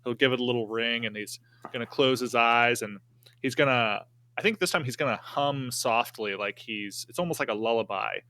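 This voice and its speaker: A man with a bright, forward voice and middling pitch tells his roleplaying character's part off the cuff. He speaks haltingly and casually, working out each detail aloud as a player at a game table. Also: no room sound.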